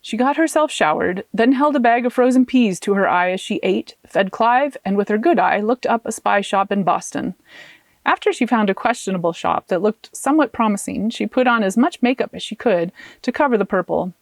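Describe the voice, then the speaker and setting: A woman author reading her own fiction aloud as an expressive audiobook narrator. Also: medium pitch, fairly quick, no room sound.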